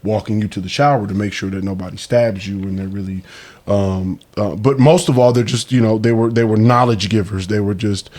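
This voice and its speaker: A man's voice: lowish voice, a little fast. Laid-back man in his thirties, street talk. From Milwaukee, Wisconsin. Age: 30s. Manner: laid-back street slang, animated bursts. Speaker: podcast guest. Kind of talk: casual conversation.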